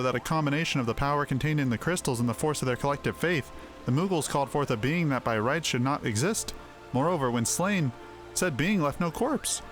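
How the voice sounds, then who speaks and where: A man's voice: fairly high pitch, lightly acted. A man voicing a scholarly, irritable male mage from a fantasy game, wordy and pedantic. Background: faint music.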